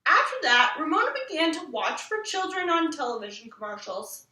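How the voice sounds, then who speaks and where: Animated high-voiced woman reading a storybook. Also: brisk. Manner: animated, acts out the quoted lines. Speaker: reader of a children's book aloud. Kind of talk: expressive storybook read-aloud. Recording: roomy echo, distant from the mic.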